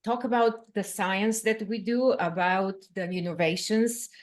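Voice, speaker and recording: A woman from Bulgaria answering interview questions as a scientist, talking in a lively way with frequent "um" fillers. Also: medium pitch, measured pace. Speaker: guest scientist.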